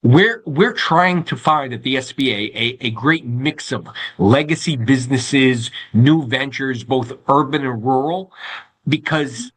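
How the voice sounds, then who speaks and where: A man from Long Island with the local accent, speaking as an official interview guest in measured, formal phrasing with repeated hesitations. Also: medium pitch, fairly bright tone, breathy edge. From Long Island, New York, United States. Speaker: government agency regional administrator, interview guest. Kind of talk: interview answers, promotional and informative.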